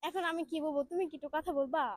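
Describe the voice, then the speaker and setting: A woman's voice: sits high, steady tone, very fast, dry recording, studio quality. A young woman with a Bangladeshi accent, chatting casually on a phone call. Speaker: daughter making a phone call.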